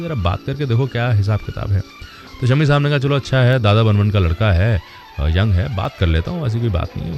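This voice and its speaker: A man's voice: on the low side, mellow. A man hosting a radio show in a conversational storytelling style, introducing old film songs and acting out quoted dialogue.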